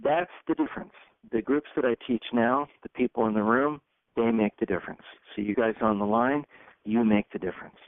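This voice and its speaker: Male coach and call host, gravelly voice, punchy short phrases, heard on a thin telephone line. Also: mid-range voice, fairly even intonation, fairly quick, no room sound. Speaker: facilitator and leadership coach. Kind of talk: hosting a group coaching conference call. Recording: tinny phone conference line.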